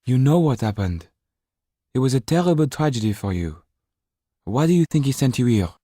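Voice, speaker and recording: A man voicing a kind but firm married gentlewoman in a storybook, with an earnest delivery. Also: mid-range voice, sing-song, normal speed, dry recording, clean studio sound, voice pushed up. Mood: probing. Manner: earnest, sometimes sharp, sometimes softly breathy.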